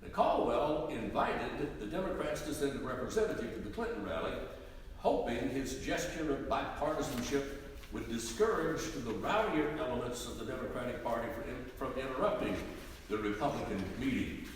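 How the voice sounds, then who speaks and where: A man narrating history from a script in a live dramatic reading, deliberate and theatrically emphatic. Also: mid-range voice, lively intonation. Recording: echoey hall, distant mic, faint noise.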